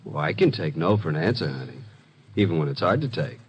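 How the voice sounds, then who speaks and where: An actor playing a wry, flirtatious detective's assistant who narrates breezily in 1950s radio drama style. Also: male voice, very deep voice, big pitch swings, fast, slight background noise. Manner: wry, breezy, smooth-talking.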